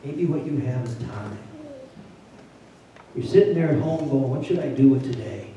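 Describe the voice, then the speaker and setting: A man's voice: mid-range voice, lively intonation, normal speed, mellow, recorded from a distance, slight background noise. Older man preaching in an echoey church. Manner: plainspoken and conversational, building to urgent emphasis. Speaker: pastor. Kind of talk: sermon. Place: large church sanctuary. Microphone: handheld mic, filmed from a distant camera.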